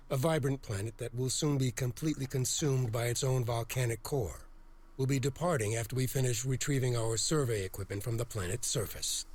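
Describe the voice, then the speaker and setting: A man's voice: medium pitch, slight background noise, close to an everyday voice. A man playing a pompous, hot-tempered starship captain in a video game cutscene, with a grandiose, theatrical delivery. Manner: grandiose log-reading delivery, exploding into shouted orders.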